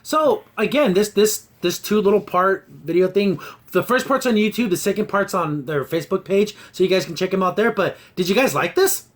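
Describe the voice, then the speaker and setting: A man's voice: high-pitched, normal speed. A man hosting a casual review podcast about cartoons, talking in an exasperated, mocking way. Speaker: podcast host.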